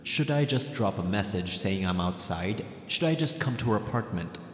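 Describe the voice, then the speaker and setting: A man's voice: on the low side, expressive, normal speed, natural voice. A man playing an obsessive, lovesick young ex-boyfriend in an ASMR roleplay monologue, anxious, possessive and agonized. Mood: torn, uneasy. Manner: brooding, agonized, self-questioning. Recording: reverberant echoey voice over music. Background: music.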